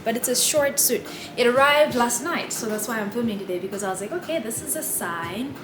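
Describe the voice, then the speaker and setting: A woman's voice: a little high, lively intonation, fairly quick, echoey room, music in the background. A young woman from Malawi, chatting casually to camera in her apartment. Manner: chatty, upbeat, lots of "like" and "um". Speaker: vlogger, content creator. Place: apartment with a river view.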